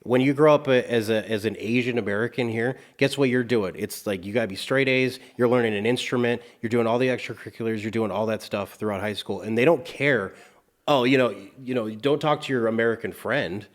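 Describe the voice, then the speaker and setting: A man co-hosting a podcast, blunt and animated in casual chat with some swearing. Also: medium pitch, brisk.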